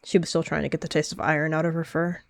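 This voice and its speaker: A woman's voice: sits low, little pitch movement, fast, gravelly, no room sound, clean studio sound. A woman giving descriptive story narration for her character in a roleplay.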